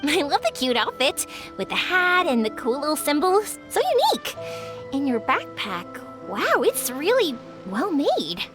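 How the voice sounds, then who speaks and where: An actress playing a bubbly, warm-hearted young woman in a video game, friendly, enthusiastic and chatty. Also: high-pitched, very animated, a little slow. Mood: warm, excited. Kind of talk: English video game voice acting. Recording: music playing behind the voice.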